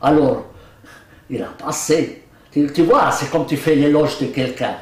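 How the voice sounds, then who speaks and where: A man's voice: on the high side, measured pace, a little mellow. An older man whose first language is Arabic, chatting in an interview, joking, laughing often and hesitating with many fillers. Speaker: Quran translator being interviewed. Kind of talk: casual interview conversation. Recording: roomy, mic at a distance.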